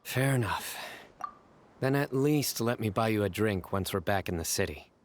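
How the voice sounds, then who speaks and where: An actor playing a stern, reserved young desert lawman in a video game, speaking in a measured, formal, deadpan way with some weariness. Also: fairly low pitch, slight background noise, natural voice. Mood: resigned.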